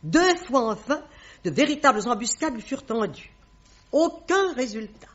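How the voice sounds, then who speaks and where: Casting: haughty middle-aged queen, high animated voice, formal radio-play delivery, muffled vintage sound. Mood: anxious, insistent. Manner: haughty, formal, measured diction. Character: proud, imperious middle-aged queen mother, anxious and formal. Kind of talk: French radio drama acting. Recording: dull, band-limited old radio recording.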